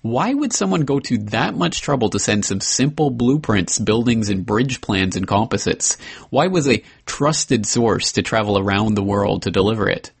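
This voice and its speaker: Husky low-voiced man reading aloud. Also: animated. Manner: earnest, measured reading with occasional slips and restarts. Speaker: podcast host reading a memoir excerpt. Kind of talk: reading a book excerpt aloud.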